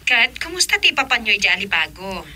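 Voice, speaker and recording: A woman's voice: medium pitch, sing-song, brisk, sharp tone, heavily acted. A woman playing an anxious, questioning madam in a radio drama. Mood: worried.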